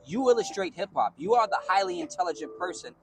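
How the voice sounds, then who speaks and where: A man's voice: sits high, animated, quick pace, a little hiss or hum. A man from the Bronx with a New York accent, talking animatedly as an interview guest, sometimes half-singing his lines. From the Bronx, New York City. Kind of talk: casual interview.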